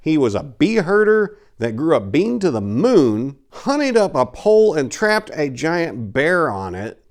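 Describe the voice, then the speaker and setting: A man hosting a story channel, chatting casually and enthusiastically to his listeners. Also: mid-range voice. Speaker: host and reader of a story channel.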